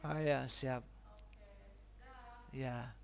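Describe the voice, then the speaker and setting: A man giving a casual, informal step-by-step software tutorial, often checking in with his listeners. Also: medium pitch, fairly even intonation, drawn-out words, creaky.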